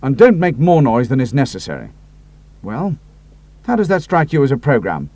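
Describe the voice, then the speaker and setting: A man voicing a decisive air ace with a crisp, clipped and authoritative manner. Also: mid-range voice, big pitch swings, normal speed, dark tone, no room sound. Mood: commanding. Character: commanding British air ace, decisive and brisk.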